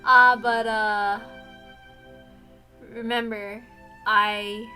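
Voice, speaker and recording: A woman's voice: a little high, flat delivery, drawn-out words, sharp tone, soft background music. A woman streaming a visual novel read-aloud, moving between narration and informal, rambling asides where she interrupts herself. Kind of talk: casual chat and story read-aloud.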